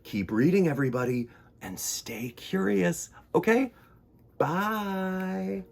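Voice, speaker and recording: A man hosting a children's storytime, reading and chatting in a theatrical, expressive way. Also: sits high, slow pace, vocal fry. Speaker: children's storytime host and reader.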